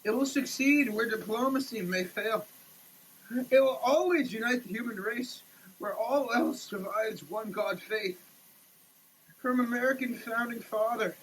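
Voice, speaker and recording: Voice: mid-range voice, a bit bright, a little hiss or hum, low-quality recording. A person giving a theatrical, declamatory dramatic reading of printed text. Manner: theatrical, declamatory, with muttered asides. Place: shower.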